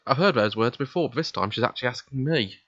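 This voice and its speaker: A man's voice: medium pitch, lively intonation, quick pace, a bit bright. Man reading a visual novel, slightly muffled mic. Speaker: let's play reader voicing the protagonist. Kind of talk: reading visual novel text aloud with casual asides.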